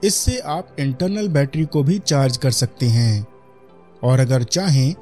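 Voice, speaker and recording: A man's voice: medium pitch, lively intonation, unhurried, dark tone, faint music behind. A man with an Indian accent giving a product demonstration voice-over as a reviewer.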